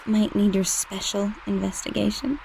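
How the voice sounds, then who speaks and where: A sultry robot pop starlet from a video game. Her mid-pitched voice creaks with vocal fry as she flirts coyly, always scheming. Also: measured pace, warm tone, a little hiss or hum, rough recording. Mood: flirtatious. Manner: coy, flirtatious. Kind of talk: video game cutscene acting.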